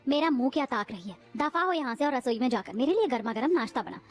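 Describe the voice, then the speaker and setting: A woman's voice: high-pitched, expressive, fast talker, faint music behind. A woman voicing a cruel, domineering mother-in-law in a cartoon who scolds and taunts. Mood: scolding.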